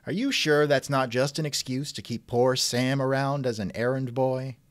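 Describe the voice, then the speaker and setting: A man's voice: medium pitch, fairly even intonation, moderate pace, dry recording. A man hosting a scripted comedy interview show in a theatrical, wisecracking manner, close to the mic. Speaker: podcast host and interviewer.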